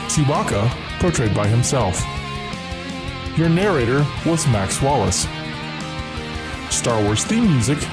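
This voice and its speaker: A man's voice: mid-range voice, very animated, slow, long pauses. A man reading scripted credits as an announcer. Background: loud guitar music.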